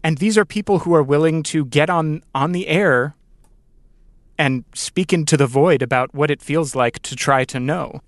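A man hosting a thoughtful podcast interview, speaking in deliberate, measured phrases with pauses. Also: fairly high pitch, dry recording, clean studio sound.

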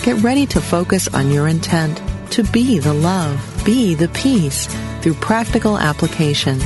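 A woman announcer with a lowish voice reads a scripted introduction to a radio program. Her delivery is smooth and measured. Music plays clearly underneath her voice. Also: expressive. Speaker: show announcer. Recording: voice over loud music bed.

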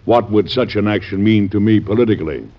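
An actor playing a grave, conscientious middle-aged American president in classic radio drama style, speaking in a deliberate, formal, earnest manner. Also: male voice, on the low side. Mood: firm. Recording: dull band-limited old radio recording.

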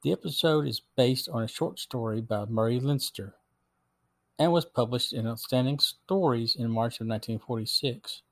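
A man's voice: medium pitch, normal speed. Man reviewing in scripted narration. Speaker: podcast host and reviewer.

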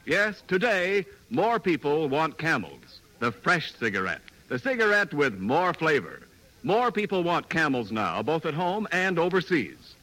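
A man delivers an old-time radio commercial with polish and emphasis. His voice is bright and fairly high, with lively rises and falls. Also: a little slow, rough recording. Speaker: radio announcer. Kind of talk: 1940s radio commercial announcing.

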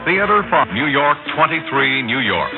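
Loud orchestral music plays behind a muffled vintage radio recording of a male announcer. He reads scripted credits with a lively, swinging intonation in 1960s broadcast style. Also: mid-range voice, normal speed.

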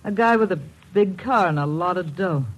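An actress playing a wary, streetwise young nightclub entertainer in an old radio drama, slangy and guarded, on a faintly noisy recording. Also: female voice, fairly low pitch, animated, moderate pace. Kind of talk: 1950s radio drama acting.

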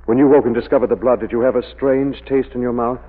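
A man playing a composed, protective, commanding gentleman detective in an old radio mystery. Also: mid-range voice, steady tone, quick pace, natural voice. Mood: probing. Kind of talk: 1940s radio mystery acting. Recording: phone-like old radio recording.

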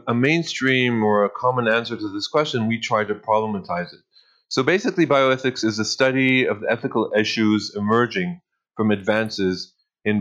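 A man with a slight Israeli accent, a law professor answering interview questions thoughtfully, pausing with many fillers. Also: on the low side, fairly bright tone, lo-fi sound.

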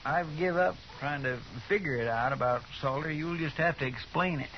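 An actor playing a folksy, earnest, deferential sidekick with an American Western drawl in a 1950s radio drama. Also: male voice, on the high side, moderate pace, close to an everyday voice. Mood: puzzled. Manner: folksy, earnest, mildly fussy. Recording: dull, noisy vintage 1950s radio recording.

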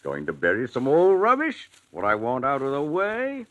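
An actor playing a sly, jovial gardener in a 1940s radio drama, folksy and joking. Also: male voice, mid-range voice, huge pitch swings, normal speed. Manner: folksy, joking dialect speech. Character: sly rustic male gardener, jovial yet menacing.

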